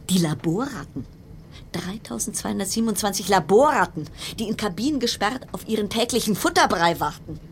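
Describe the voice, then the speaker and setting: An actress in a German radio play voicing a frayed, suspicious cruise ship passenger in a brooding monologue of broken-off, repeated phrases. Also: female voice, fairly low pitch, a little hiss or hum. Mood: agitated, paranoid. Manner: fragmented, broken-off sentences, repeating words. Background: music.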